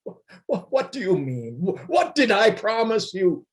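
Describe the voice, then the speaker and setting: A man playing a flustered, excitable anarchist orator in an amateur radio play reading, stammering and halting theatrically over a video call. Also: sits high. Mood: bewildered.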